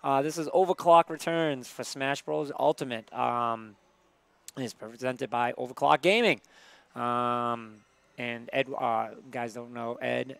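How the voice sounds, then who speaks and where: A man's voice: medium pitch, gravelly, a bit bright, clear, resonant tone. A man hosting a gaming livestream, reading out tournament details in a casual way with lots of fillers. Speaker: livestream host.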